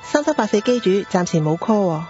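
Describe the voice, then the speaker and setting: A woman's voice: medium pitch, very animated, a little fast, mellow, close to an everyday voice. A woman with a Hong Kong accent playing a polite night-shift pager operator and storyteller in a radio drama. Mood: polite, businesslike. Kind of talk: scripted radio drama narration. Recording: dull lo-fi audio under music.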